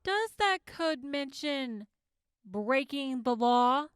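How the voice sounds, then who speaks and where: A woman's voice: sits high, expressive, slow, no echo, clean studio sound. An actress playing a composed woman prosecutor who questions formally and pointedly in an audio drama courtroom. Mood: cool, pointed.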